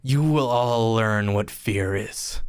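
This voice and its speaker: Dying rich uncle: low-pitched, bitter, threatening, coughing, radio-play melodrama, recorded dry and close. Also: male voice, no echo. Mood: menacing, dying. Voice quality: coughing, breathy sighs and laughs. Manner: halting, theatrical, threatening. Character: bitter, dying old uncle, menacing and wealthy. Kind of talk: old-time radio play acting.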